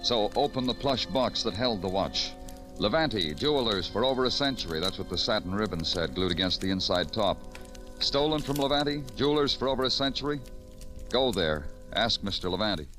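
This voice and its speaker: An actor playing a weary, brooding police detective in an old radio crime drama, speaking in a measured, poetic noir style. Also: male voice, on the low side, expressive, music in the background, lightly acted. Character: weary, brooding male police detective narrating his case.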